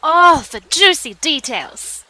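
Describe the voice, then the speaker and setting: A man's voice: extremely high pitch, animated, takes it slowly, pushed and bright, no room sound, pitched above the performer's own voice, full character voice, rough recording. A man doing a brash young woman captain. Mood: teasing. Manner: cheeky and blunt.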